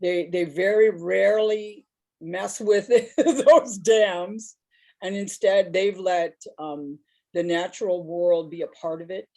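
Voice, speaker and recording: Low-voiced woman telling stories. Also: takes it slowly, warm tone. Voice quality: big voice. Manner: reflective, rambling, laughs while telling stories. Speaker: panelist. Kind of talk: personal storytelling on a discussion panel.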